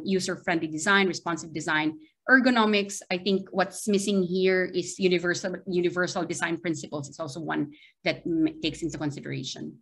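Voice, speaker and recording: Filipino woman, middle-aged, lowish voice, teaching a seminar on a video call, halting, keeps checking in. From Philippines. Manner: hesitant, checking in with frequent "right?". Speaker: university lecturer giving a seminar. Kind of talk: online academic lecture with slides. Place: small video-call window, remote presentation.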